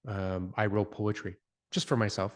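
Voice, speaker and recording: Hesitant low-voiced man, interview guest. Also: little pitch movement, normal speed. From Canada. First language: Italian. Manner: hesitant, many fillers and restarts, reflective. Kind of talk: conversational interview.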